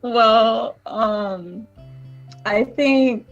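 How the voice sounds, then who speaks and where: A woman's voice: a little high, drawn-out words. A woman guest chatting casually in a rambling way, often saying "like", on a dull mic with music behind her. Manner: rambling, frequent "like". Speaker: guest voice actor. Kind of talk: casual chat.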